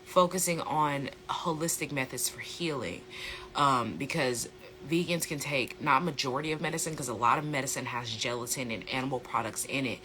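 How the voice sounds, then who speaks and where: Bright, slightly hoarse American lifestyle coach. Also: mid-range voice. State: slightly hoarse from a sore throat. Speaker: host, plant-based lifestyle coach. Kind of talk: casual educational vlog talk.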